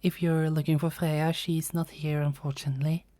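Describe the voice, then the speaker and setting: A woman's voice: sits low, flat delivery, normal speed, dark tone, dry recording, close to an everyday voice. A woman playing a teasing, kindly Norse goddess in a conversational fantasy audio roleplay. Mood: gentle, chatty. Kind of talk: fantasy ASMR roleplay acting.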